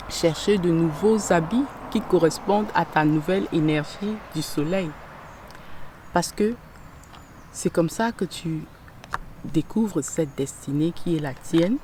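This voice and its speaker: A woman giving a tarot reading straight to the viewer, gentle and unhurried, often pausing and searching for words. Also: on the low side, noisy background. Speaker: tarot reader.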